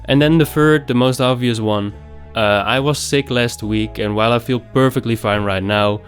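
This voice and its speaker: A man's voice: medium pitch, normal speed, soft background music. A young man rambling casually through a game review, nasal from a recent cold, swearing offhandedly.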